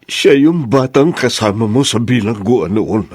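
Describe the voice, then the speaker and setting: A slow, husky male voice portrays a guilt-laden older father in a radio drama. He speaks haltingly and emotionally, with wide swings in pitch. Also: animated, darkish tone, dry recording, studio quality. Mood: stunned. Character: remorseful older father haunted by an old killing. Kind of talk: Tagalog radio drama acting.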